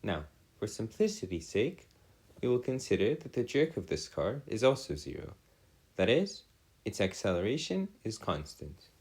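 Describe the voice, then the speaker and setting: A man giving a step-by-step lesson as a tutor, in formal, wordy phrasing with halting pauses and self-corrections. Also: lowish voice, sing-song, darkish tone, slightly rough. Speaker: math and physics tutor. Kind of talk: explanatory lesson, worked step by step.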